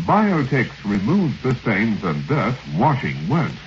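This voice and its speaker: Old radio ad guy, punchy sales read, pitch moves a lot, muffled slightly hissy. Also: wildly swooping pitch, slow. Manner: emphatic, persuasive. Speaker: commercial announcer. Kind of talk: vintage radio advertisement read. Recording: dull, lightly hissy old radio recording.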